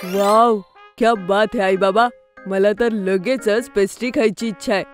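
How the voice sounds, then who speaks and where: A woman voicing an excitable, food-loving little boy in a children's cartoon, full of wide-eyed enthusiasm. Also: mid-range voice, unhurried, natural voice. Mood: excited. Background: background music.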